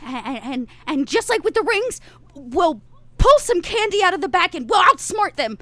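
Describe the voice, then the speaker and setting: Tabletop game heroine, played by a woman: small, bossy, exasperated, very high voice, stammering emphatic scolding, dry sound. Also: very animated, moderate pace, bright, forceful tone, no echo, exaggerated voice. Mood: firm, rallying. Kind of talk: improvised in-character role-play.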